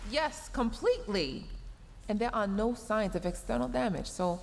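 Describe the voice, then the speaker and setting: A woman's voice: fairly high pitch, lively intonation, normal speed, gravelly, long reverb tail, slight background noise. A woman voicing a tsundere mech engineer in a sci-fi audio roleplay, sarcastic and exasperated, scolding while secretly caring. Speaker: roleplay voice performer.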